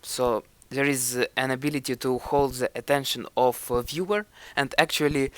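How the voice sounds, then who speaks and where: A man's voice: mid-range voice, fairly bright tone, dry recording. A young man with a Ukrainian accent, a vlogger thoughtfully commenting on his own old video and searching for words as he goes.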